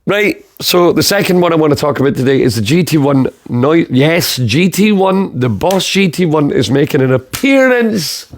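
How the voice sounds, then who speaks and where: Rambling Scottish podcast host. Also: male voice, a little high, animated, moderate pace, few pauses. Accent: southern Scottish. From south of Scotland. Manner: rambling, swearing, laughs at his own jokes. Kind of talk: casual chat.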